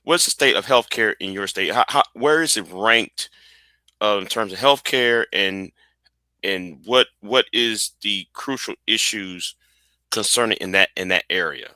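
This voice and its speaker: A man from Texas with a Texan accent, hosting an interview and asking questions haltingly, with many restarts. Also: fairly low pitch, sharp tone, no echo. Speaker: interview host. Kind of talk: casual interview.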